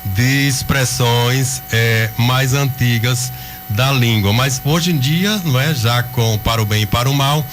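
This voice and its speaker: Brazilian guy from the backlands, talks slow and careful like a teacher, radio studio, bit of music behind. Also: medium pitch, a bit bright. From Pernambuco sertão, Brazil. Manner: thoughtful, measured, slightly professorial. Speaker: guest writer and linguist. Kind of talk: radio interview.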